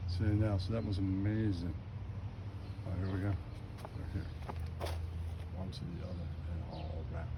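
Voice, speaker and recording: A man's voice: deep voice, fairly even intonation, normal speed, long pauses, heavy background noise. A man giving rambling, casual vlog narration outdoors in murmured, fragmentary musings. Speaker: amateur video maker.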